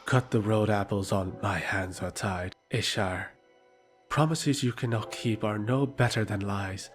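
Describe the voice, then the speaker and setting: Guy acting a defiant fantasy court advisor, lowish voice, formal n sardonic, soft music behind. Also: unhurried, close to an everyday voice. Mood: accusing. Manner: formal, pointed. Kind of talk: audio drama acting.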